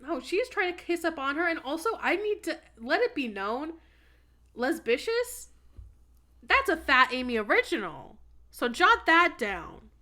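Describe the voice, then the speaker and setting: A young woman with a bright, slightly high voice chats casually on a podcast. She is blunt and sweary and leans hard on her words. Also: sing-song, a little slow. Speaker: podcast co-host. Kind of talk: casual chat.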